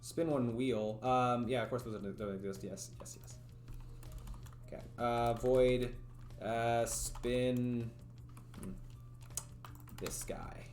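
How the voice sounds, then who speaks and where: A man livestreaming while he codes, thinking aloud in a loose, rambling way and answering his chat. His voice has gone a bit raspy after hours of talking. The room sounds echoey, with faint music playing underneath. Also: medium pitch, fairly even intonation, slow pace, pauses often, a little mellow. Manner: thinks aloud, rambling, chats with viewers, frequent laughs. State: voice getting raspy from long talking. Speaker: streamer. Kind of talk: casual livestream coding commentary. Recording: roomy echo, faint music.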